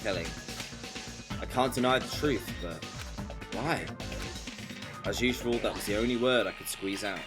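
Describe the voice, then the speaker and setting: A man's voice: on the low side, normal speed, long pauses. A man live-streaming a visual novel, reading dialogue aloud dramatically and breaking in with incredulous wisecracks. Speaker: game streamer. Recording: game music behind voice. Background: game music.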